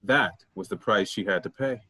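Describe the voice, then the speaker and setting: A solemn boatman of the underworld, acted by a man in a stage play reading. The voice is husky and measured, with a grave storytelling delivery. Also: lowish voice, bright, forceful tone. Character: solemn male boatman ferrying souls of the dead.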